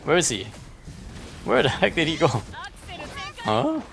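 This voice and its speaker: A man's voice: a little high, huge pitch swings, slow pace, gravelly, fairly bright tone, soft background music. A man giving casual live gameplay commentary, thinking aloud as he repeats and questions himself. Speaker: game livestreamer.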